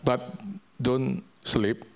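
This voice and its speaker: Male Indonesian-accented missionary preacher, slow delivery, halting non-native English, medium pitch. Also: fairly even intonation, gravelly. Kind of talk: church sermon and ministry update.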